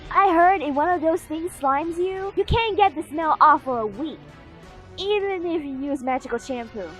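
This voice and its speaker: Spunky fairy teen played by a woman: very high, animated, brisk and plain-spoken, for a cartoon fandub. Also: soft background music. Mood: gossipy.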